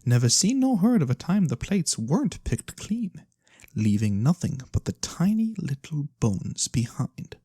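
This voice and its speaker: Picture a mysterious, not-quite-human keeper of tales in a fantasy realm, as an ASMR performer plays the part. The voice is soft, breathy and slow, recorded close and dry. The delivery is sly and theatrical, with lively swoops in pitch and deliberate pauses. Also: dry recording. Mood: knowing, sly. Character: sly mysterious nonhuman lorekeeper, fortune-telling storyteller for coin. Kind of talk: soft-spoken ASMR fantasy roleplay, storytelling.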